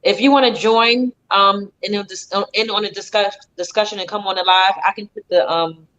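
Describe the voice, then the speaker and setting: A woman hosting a casual live stream, chatty and quick to agree, often restarting her sentences. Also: medium pitch, steady tone, a bit bright. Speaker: host of a live talk stream. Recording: slightly dull lo-fi stream audio.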